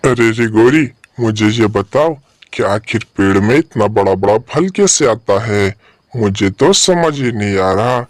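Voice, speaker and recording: Man voicing a puzzled, dim-witted cartoon crow, low-pitched. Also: expressive, a little slow, dry recording, studio quality. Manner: repetitive, pestering questions. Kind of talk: Hindi animated bird story dialogue.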